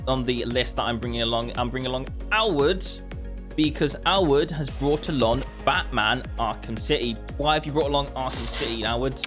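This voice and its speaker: A man's voice: medium pitch, normal speed, fairly bright tone, faint music behind. A man hosting a casual gaming podcast, chatty and prone to repeating himself. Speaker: podcast host.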